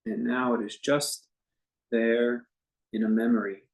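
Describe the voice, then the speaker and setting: Male meditation teacher, very unhurried delivery, mid-range pitch, gentle halting phrases, long pauses, conversational. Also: flat delivery, creaky, poor audio. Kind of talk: guided meditation, unscripted and conversational.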